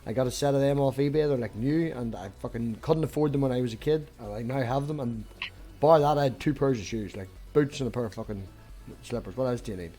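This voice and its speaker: A Northern Irish man hosts a loose stream chat, rambling along and swearing freely. His voice sits at a mid pitch. He keeps the talk moving and tells stories in an offhand way. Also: fast talker, dark tone, faint music behind. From Northern Ireland. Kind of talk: casual group chat on a stream.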